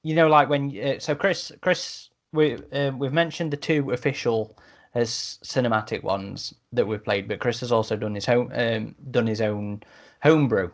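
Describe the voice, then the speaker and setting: A man's voice: medium pitch, fairly even intonation, normal speed. A man clarifying points in a casual podcast discussion, in an explanatory way. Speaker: podcast panellist.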